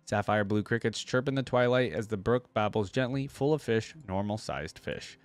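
A man's voice: fairly low pitch, normal speed, dry recording, soft background music. A young man reading a story aloud and commenting casually as a reaction video host. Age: young adult.

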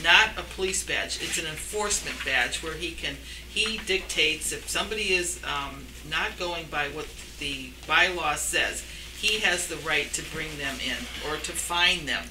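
A woman's voice: low-pitched, unhurried, recorded from a distance. Firm woman in an echoey, noisy room. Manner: firm, challenging. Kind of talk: argument at a public meeting. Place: municipal meeting room.

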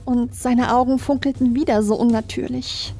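A woman's voice: on the high side, steady tone, normal speed, mellow. A woman reading scripted first-person horror narration as a creepypasta narrator. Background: background music.

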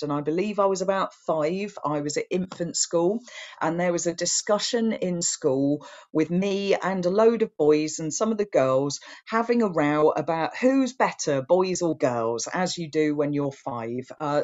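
A woman in her thirties giving an online talk from her living room, earnest with frequent restarts, her voice getting a bit hoarse. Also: on the low side, a little mellow, lo-fi sound. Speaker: guest speaker. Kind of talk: online talk answering comments. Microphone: webcam on video call.

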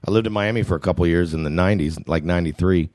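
A man's voice: deep voice, racing, a little mellow, no echo, studio quality. A man in his forties from Florida, co-hosting a casual internet radio show with playful, joking banter. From Florida, USA. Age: 40s. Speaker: co-host. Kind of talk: casual radio-style chat.

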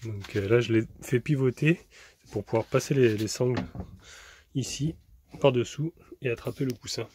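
Guy chatting through a camper van fix on his phone, bit of hiss, middle pitch. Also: moderate pace, darkish tone. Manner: chatty, explains step by step with many restarts. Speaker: vlogger. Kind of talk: casual vlog narration.

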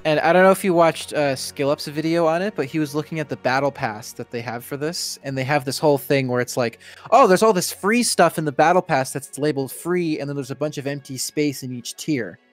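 A man's voice: a little high, fairly quick, hardly any pauses, faint music behind. A man chatting casually as he co-commentates on a video game stream.

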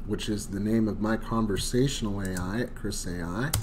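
Casual man demoing software, chatty. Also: moderate pace, noisy background. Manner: loose, filler-heavy, enthusiastic pitch. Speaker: tutorial host. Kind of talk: casual screen-recorded software walkthrough.

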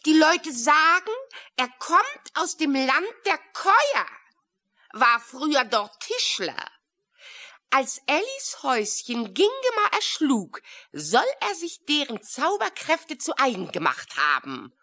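A woman playing an excitable talking crow in a children's audio play, speaking deliberately and theatrically with caws. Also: high-pitched, full character voice. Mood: dramatic, reporting. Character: excitable talking female crow, messenger and friend.

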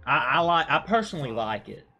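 A man's voice: fairly high pitch, sing-song, quick pace, bright, forceful tone, soft background music. A young man, a gaming streamer, giving casual, sweary, slangy commentary as he plays. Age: young adult. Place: indoors at a computer.

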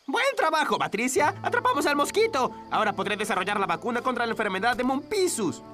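An earnest cartoon animal hero with wildly swooping intonation. Clear music plays under the voice. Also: male voice, very high voice, very animated, fast. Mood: triumphant.

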